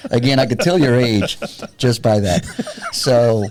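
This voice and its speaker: A man's voice: mid-range voice, expressive, takes it slowly, a little mellow, slight background noise. A man in his fifties from San Antonio, a guest and tactical trainer talking in a wry, plain-spoken way on a podcast. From San Antonio, Texas. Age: 50s. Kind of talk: casual podcast conversation.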